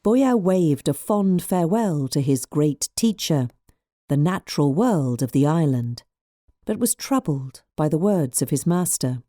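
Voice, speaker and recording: A woman narrating a scripted folk tale in an expressive, measured storyteller's manner. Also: low-pitched.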